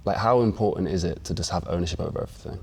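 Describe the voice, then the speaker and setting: A man's voice: deep voice, very fast, vocal fry, a little mellow, slightly rough. A man hosting an interview, asking loosely phrased questions with enthusiasm. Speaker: interview host. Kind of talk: conversational interview.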